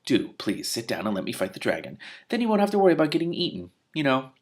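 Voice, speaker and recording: A man's voice: medium pitch, wildly swooping pitch, fast talker, pitched above the performer's own voice. A man doing a fussy, apologetic storybook knight. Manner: apologetic, fussy, mildly exasperated. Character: clumsy, polite, embarrassed knight in armor.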